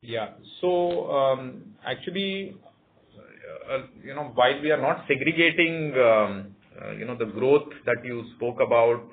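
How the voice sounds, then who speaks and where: A man with an Indian accent answering analyst questions as a company executive on a conference call, speaking in a hesitant, measured, formal way. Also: mid-range voice, animated. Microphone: conference call line. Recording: narrow tinny conference phone line, faint noise.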